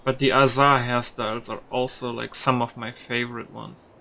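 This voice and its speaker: Bright-voiced man with a gravelly creak. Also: mid-range voice, little pitch movement, moderate pace, a little hiss or hum, rough recording. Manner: musing aloud, mildly profane. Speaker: video game streamer. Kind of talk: casual gameplay commentary.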